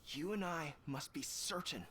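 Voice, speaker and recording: An actor playing a stern, dutiful army general in a video game, speaking in a measured, formal, authoritative way. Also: male voice, a little high, pushed and bright, a little hiss or hum. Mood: grave.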